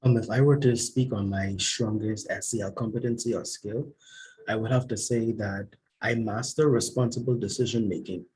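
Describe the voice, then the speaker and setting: A man's voice: fairly low pitch, steady tone, moderate pace, darkish tone, husky, rough recording. A young man, a student panelist, giving a formal, orderly, prepared answer.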